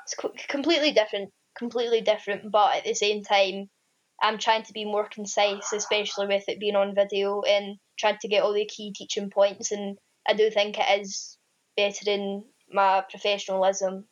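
A woman's voice: medium pitch, little pitch movement, moderate pace. A young woman from Scotland with a strong Ayrshire accent, a student teacher answering interview questions hesitantly, with many ums and restarts, over what sounds like a phone or video call. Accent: Scottish, Ayrshire. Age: young adult. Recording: dull lo-fi sound.

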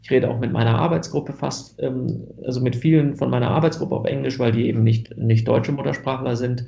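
A man's voice: mid-range voice, steady tone, fairly quick, gravelly, dark tone, roomy sound, muffled recording. A German man answering interview questions about genetics research, thoughtful, with many fillers and restarts.

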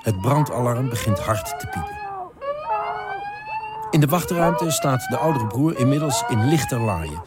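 A man's voice: medium pitch, huge pitch swings, a little slow, pauses often. A man narrating a dramatic audio play with urgent intensity. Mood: urgent, tense. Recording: clear noise with alarm sounds behind.

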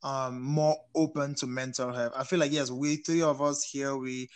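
A young man from Cameroon hosting a casual chat, animated and laughing often as he questions his guests. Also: medium pitch, moderate pace, clear, resonant tone. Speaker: host.

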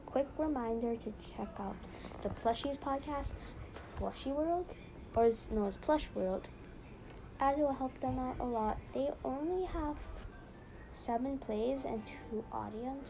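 A woman's voice: high voice, creaky, noisy background. A young woman hosting a casual podcast, chatty and rambling with lots of "so yeah". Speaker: podcast host.

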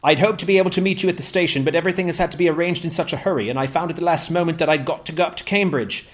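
A man voicing an eager, cheerful scholar with a warm, lively, enthusiastic manner. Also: fairly high pitch, racing, pitched above the performer's own voice, natural voice. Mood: cheerful, urgent. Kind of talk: audiobook character voice.

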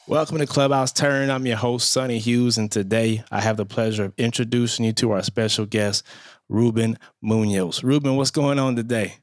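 Upbeat podcast host, dry close sound. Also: male voice, medium pitch, fairly even intonation, normal speed, dry recording. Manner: upbeat, says "man" and "you know" a lot. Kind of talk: casual interview.

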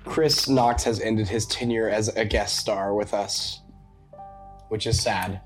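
A man hosting a tabletop game podcast, with a slightly raspy voice and a relaxed, self-deprecating way of talking. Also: on the low side, slow, faint music behind, rough recording. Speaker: host. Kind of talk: casual chat.

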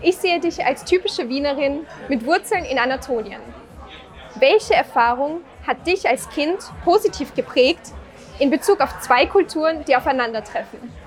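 A young woman with a high voice asks her prepared questions in measured, deliberate phrasing. Faint music sits under her voice. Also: lively intonation. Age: young adult. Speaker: interviewer.

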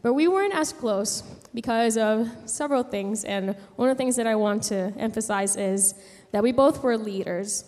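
A young woman from San Juan tells a personal story to a gathering, haltingly, pausing mid-sentence. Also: a little high, a little mellow. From San Juan, Puerto Rico. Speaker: speaker giving a personal testimony. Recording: long hall reverb.

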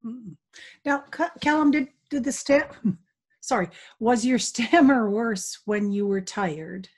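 A woman hosting a podcast interview, speaking softly and offering supportive backchannels. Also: a little high, takes it slowly. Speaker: podcast host. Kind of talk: conversational interviewing.

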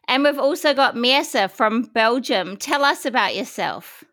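A woman hosts a podcast interview in a bright, forward voice that sits a little high. Her questions sound prepared and orderly. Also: animated, measured pace. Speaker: podcast host.

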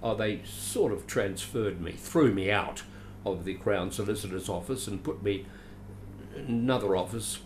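A man's voice: on the low side, moderate pace, a little hiss or hum. An older Australian man, a veteran actor, reminiscing in a rambling, chatty way with dry self-deprecating asides. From Adelaide, Australia. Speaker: veteran actor, interview guest. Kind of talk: reminiscing conversational interview.

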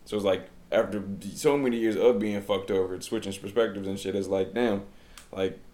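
A man's voice: fairly low pitch, steady tone, brisk, dark tone. A man in a casual podcast chat, blunt and streetwise, heavy on slang and swearing.

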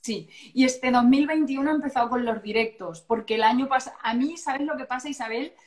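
A woman's voice: sits high, brisk, a little mellow. A woman with an accent from Spain chatting casually as a guest, playful and digressive, often interrupting herself. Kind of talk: casual chat. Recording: echoey room sound.

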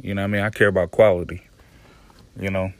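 A man's voice: sits low, flat delivery, quick pace, darkish tone. A man hosting his own podcast in a casual, laid-back way, speaking with a soothing voice, swearing freely and often saying "you know what I'm saying". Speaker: podcast host. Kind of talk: casual solo podcast commentary.

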